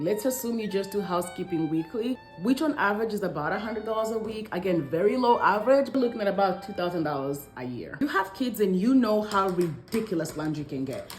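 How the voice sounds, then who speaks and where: A woman's voice: mid-range voice, reverberant. A woman in her thirties from Congo talking to camera as a lifestyle vlogger, conversational and emphatic. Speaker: vlogger, stay-at-home mother. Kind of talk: scripted talk to camera in a lifestyle vlog.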